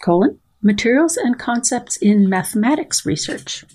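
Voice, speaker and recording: A woman's voice: a little high, lively intonation, normal speed, darkish tone, husky. A woman, a biologist guest on a podcast, reading passages aloud and answering with wry humor. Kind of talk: podcast interview with dramatic readings.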